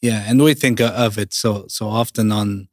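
A man in his thirties with a Portuguese accent speaks in a low, level voice and keeps stopping to restart his sentences. He is a guest telling his own story. The sound is close and dry. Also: steady tone, no echo. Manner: halting, many restarts and fillers. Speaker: Salvation Army officer, guest. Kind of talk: interview, personal story.

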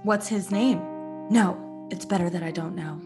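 A woman's voice: fairly low pitch, measured pace, over music, voice pushed down. A woman playing a solemn, authoritative military superior in 1940s-style radio drama. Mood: grave, commanding. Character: grave, commanding military superior in a wartime radio serial.

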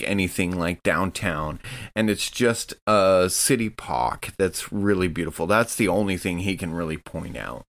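A man's voice: sits low, fairly bright tone. A man casually narrating his character's actions in a tabletop game, in a loose, rambling way.

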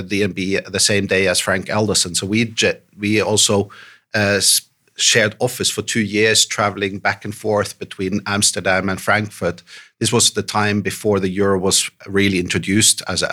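A middle-aged man with a deep, gravelly voice answers interview questions in a conversational way. He stumbles through restarts and fillers and laughs often, and there is a bright, forceful edge to his tone. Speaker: podcast guest.

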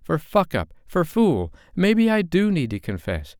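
A man's voice: medium pitch, expressive, normal speed, darkish tone, smooth, no room sound, clean studio sound, pitched above the performer's own voice, lightly acted. A man voicing an upset young woman in an audiobook, speaking in a raised, strained, heated way. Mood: angry, bitter.

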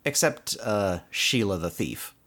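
A man's voice: lowish voice, expressive, measured pace, a bit bright. A man hosting a podcast, casually recapping a cartoon episode in a conversational way with explanatory asides. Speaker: podcast host.

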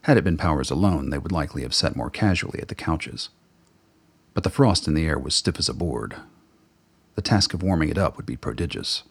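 Gravelly, very deep-voiced male narrator, husky, measured. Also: animated. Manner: measured, storytelling. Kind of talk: dramatic audio-drama narration.

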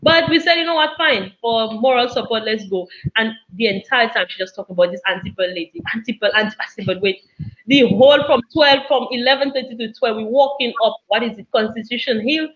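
A young woman, chatting casually as a guest and telling personal anecdotes in an animated way, acting out bits of dialogue. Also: on the high side, a little fast. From Cameroon. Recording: dull, lo-fi audio.

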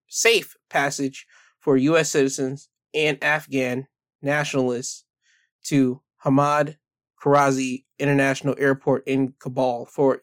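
A man hosting a casual solo podcast, talking loosely with restarts and self-corrections, then reading news articles aloud. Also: medium pitch. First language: English. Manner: rambling, self-correcting, chatty. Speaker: podcast host.

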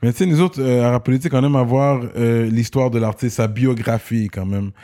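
A man with a Quebec French accent hosting a casual rap interview, slangy and relaxed, stretching his words for emphasis. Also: mid-range voice, fairly quick. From Quebec, Canada. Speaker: host.

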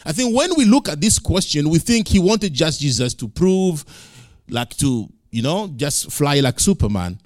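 A male pastor preaching in English, which is not his first language, building to loud emphatic peaks and repeating lines for effect. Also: fairly high pitch, big pitch swings, moderate pace, no echo, studio quality. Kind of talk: sermon.

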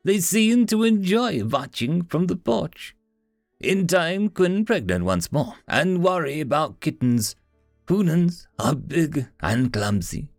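A man's voice: fairly high pitch, gravelly, dry recording, studio quality, pitched above the performer's own voice, full character voice. A man voicing a regal, proud female house cat spirit with an Eastern European accent and broken speech.

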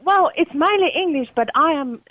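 A South African woman whose first language is Afrikaans calls into a radio show. Her fairly high voice comes through a dull, muffled phone line, dry and close. She speaks politely with hesitant fillers. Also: lively intonation, moderate pace, no room sound. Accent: Afrikaans-influenced South African. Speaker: caller. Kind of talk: phone-in call. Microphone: telephone.

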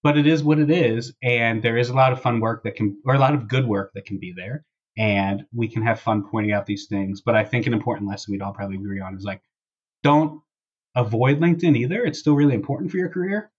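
Man, slightly husky, dull lo-fi earbud mic, wry casual podcast host. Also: medium pitch, quick pace. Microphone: wireless earbud microphone. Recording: dull, lo-fi wireless earbud audio.

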